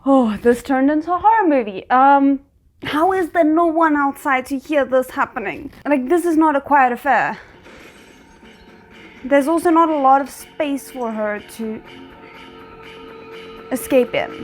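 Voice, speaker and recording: A woman with a fairly high voice gives casual commentary while watching a TV episode. She reacts with strong emotion and then reasons through what she saw. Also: measured pace, pauses often, soft background music. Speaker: reaction video host.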